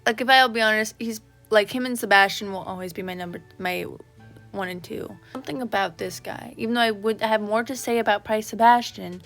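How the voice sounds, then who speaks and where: Rambling, creaky-voiced woman gushing as a fan. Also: medium pitch, brisk, fairly bright tone. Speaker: YouTube vlogger. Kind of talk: casual fan chat. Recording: background music under the voice. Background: video game background music.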